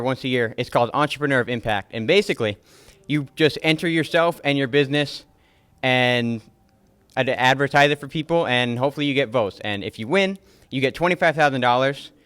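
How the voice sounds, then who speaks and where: A man's voice: medium pitch, fairly quick, fairly bright tone, clear, resonant tone. A teenage boy being interviewed as a student entrepreneur, explaining things in an easygoing way. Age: teens.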